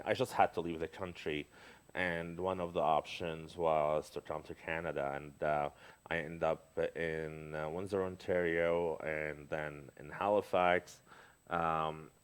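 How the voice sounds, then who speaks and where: Deep-voiced middle-aged guy from lebanon, halting casual chat, lots of uhs. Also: clean tone. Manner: hesitant, many fillers and restarts. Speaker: guest. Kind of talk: casual conversation.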